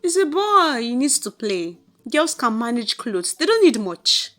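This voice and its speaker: A woman's voice: on the high side, big pitch swings, measured pace, fairly bright tone. A woman voicing a proud, boastful, hot-tempered mother with a Nigerian accent in a folktale. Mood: dismissive. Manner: boastful, scolding, emphatic.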